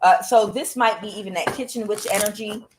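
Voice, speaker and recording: A woman doing a tarot reading on her own. She talks bluntly and swears often, questions the cards aloud, and fills her pauses with "uh". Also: medium pitch, noisy background. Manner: blunt and profane, with frequent "uh" fillers. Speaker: tarot reader. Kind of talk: solo tarot reading to camera.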